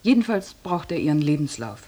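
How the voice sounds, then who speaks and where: A woman's voice: low voice, big pitch swings, brisk, mellow. An actress in a radio drama playing a cheery hospital nurse who mothers and coaxes her patient. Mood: cheery, coaxing. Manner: coaxing, kindly patronising.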